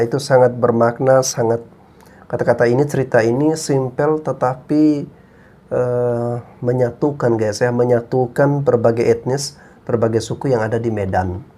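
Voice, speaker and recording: A man's voice: mid-range voice, darkish tone. A man hosting a channel, giving earnest commentary to his viewers. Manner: earnest, addresses viewers as guys.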